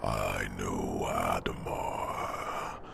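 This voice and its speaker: Male ASMR actor as an old dragon guardian, rumbling bass whisper, unhurried, gravel-edged. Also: fairly even intonation, background noise, heavily acted. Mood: solemn whisper. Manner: slow solemn whispering, addresses listener as little dragon. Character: ancient wise male dragon mentor, protective. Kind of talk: ASMR fantasy roleplay.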